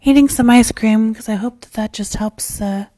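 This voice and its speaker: Hoarse, raspy woman podcast host. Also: fairly high pitch, normal speed, warm tone, no room sound. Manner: rambling and candid, with frequent fillers and restarts; swears casually. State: hoarse, losing her voice. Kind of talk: casual solo podcast chat.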